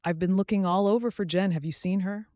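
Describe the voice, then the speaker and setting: A man voicing a casual, brisk boyfriend who is searching for his girlfriend, in story dialogue. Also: high-pitched, fairly even intonation, a little mellow, no echo, clean studio sound, pitched above the performer's own voice, lightly acted.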